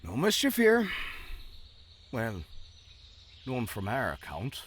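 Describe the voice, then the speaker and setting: Man as a lively, dry-humored town guard. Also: mid-range voice, slow pace, a little hiss or hum. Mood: guarded, protective. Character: loyal, dry-humored male town guard, protective friend. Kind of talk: fantasy audio roleplay acting.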